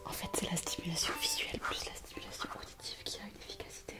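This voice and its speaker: A woman's voice: quick pace, over loud music, lightly acted. Give me a woman acting a whispering, attentive female doctor. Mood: hushed, attentive. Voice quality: breathy whisper. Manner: hushed, tentative, soothing. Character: attentive female Christmas doctor tending a sleeping patient. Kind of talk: French ASMR roleplay.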